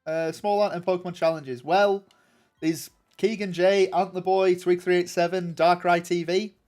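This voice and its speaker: A young man with a noticeably nasal tone talks loosely on a livestream, answering viewers. His voice sits a little high for a man, and he restarts sentences and fills gaps with ums. Soft music plays faintly behind him. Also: fairly even intonation, moderate pace. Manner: rambling and chatty, many ums and restarts. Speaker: streamer.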